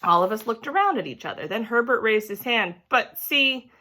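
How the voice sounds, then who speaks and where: A woman narrating a children's book aloud in an expressive, theatrical storytelling manner. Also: on the high side, fast.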